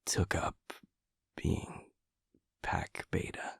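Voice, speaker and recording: A man playing an earnest, vulnerable young man in an intimate audio drama, speaking haltingly in a husky, breathy murmur. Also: no room sound, in a whisper, studio quality. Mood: tender, sincere. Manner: halting, hesitant, stammering. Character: earnest, vulnerable young man, loyal pack second-in-command.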